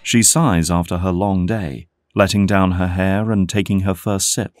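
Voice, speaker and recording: A man's voice: low voice, steady tone, moderate pace, noisy background. A man reading scripted, dramatic narration as the narrator of an audio drama. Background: background music.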